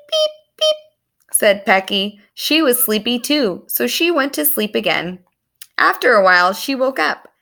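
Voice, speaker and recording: Woman, children's story reader, high-pitched, very varied melody, animated read-aloud delivery. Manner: animated, explains words to young listeners.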